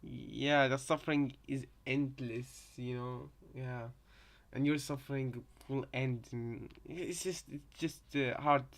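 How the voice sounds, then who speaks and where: A man casually commenting on game voice lines as a co-host, rambling and circling back over his words in a halting way. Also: medium pitch, fairly even intonation, gravelly. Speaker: reaction video co-host.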